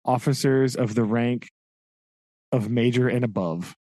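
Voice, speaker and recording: A man's voice: medium pitch, unhurried, warm tone, no room sound. A man co-hosting a podcast, casually retelling a novel's events with frequent "right?" checks and occasional laughs. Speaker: podcast co-host. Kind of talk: casual book discussion.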